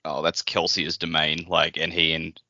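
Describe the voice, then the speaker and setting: Deep, forceful man in casual chat. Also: little pitch movement, moderate pace, dry recording, highs cut off. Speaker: podcast co-host.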